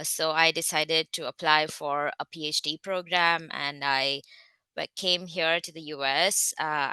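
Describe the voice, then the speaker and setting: Low, crisp-voiced Indian woman presenting. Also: pushed and bright, no room sound. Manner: careful, with frequent hesitant fillers. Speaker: guest speaker, research scientist. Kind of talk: online presentation with slides.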